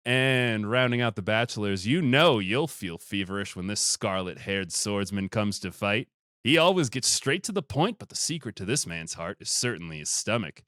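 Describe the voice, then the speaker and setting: A man hosting a casual podcast with a booming voice, playful and given to mock-announcer introductions. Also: lively intonation, normal speed, pushed and bright, no room sound, clean studio sound. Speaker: podcast host. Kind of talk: casual chat and comic introductions.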